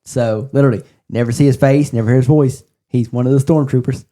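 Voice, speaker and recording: A man's voice: medium pitch, steady tone, quick pace, mellow. A man talking casually about movies as a panelist on a podcast.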